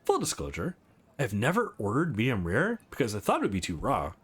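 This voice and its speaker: A man's voice: medium pitch, huge pitch swings, a little fast, breathy edge, pitched below the performer's own voice. A man voicing a confident, sardonic businesswoman with a teasing, probing manner in a visual novel. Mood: candid. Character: sardonic, confident female business boss on a dinner date.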